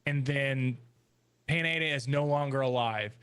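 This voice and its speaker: A man's voice: medium pitch, unhurried, fairly bright tone, smooth. A man giving his thoughts casually as a panelist on a podcast. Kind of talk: casual discussion.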